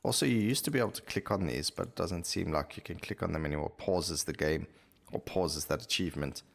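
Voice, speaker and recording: A man's voice: low-pitched, a little fast, gravelly. A man giving casual, unscripted commentary as he plays a video game. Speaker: gaming video host. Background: game music.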